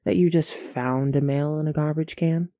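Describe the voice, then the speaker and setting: A woman playing a stern, sceptical, guarded family authority in an audio roleplay, measured and curtly reproving. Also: low voice, fairly even intonation, dry recording, narrow, tinny audio, close to an everyday voice. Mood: stern, disappointed.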